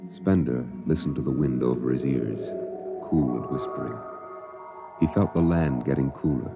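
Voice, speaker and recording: Deep gravelly man narrating over music. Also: normal speed. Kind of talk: 1950s radio drama narration. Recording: dull vintage radio recording with music.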